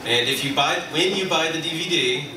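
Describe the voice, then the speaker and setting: A man speaks on a convention panel, rambling with plenty of pauses and restarts. He sits far from the mic in a cavernous hall, with a hissy background. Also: fairly high pitch. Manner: rambling, many fillers and restarts. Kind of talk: casual panel chat.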